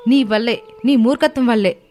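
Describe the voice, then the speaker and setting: A woman's voice: on the high side, fairly quick, mellow, soft background music, close to an everyday voice. Woman playing a bold, outspoken young daughter-in-law. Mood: accusing.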